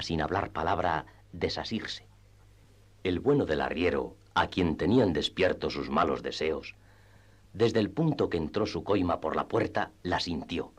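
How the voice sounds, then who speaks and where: A man with a husky voice narrating a classic tale for radio theatre in a measured, dramatic storytelling manner. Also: sits low.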